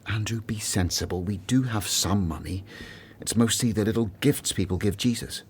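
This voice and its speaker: A man playing an earnest, conscientious disciple in a radio drama, speaking soberly with gentle reproof. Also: lowish voice, normal speed, husky. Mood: concerned.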